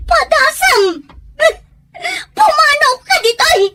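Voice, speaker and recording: Very high-pitched, distressed young wife acted by a woman. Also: animated, slow, very noisy, heavily acted. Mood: furious, tearful. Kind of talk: Ilocano radio-style drama acting.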